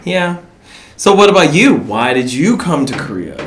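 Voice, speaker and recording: A man's voice: a little high, big pitch swings, a little hiss or hum. A young man in casual conversation as a guest, often stumbling and restarting his sentences. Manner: halting, stammering restarts. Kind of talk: casual chat.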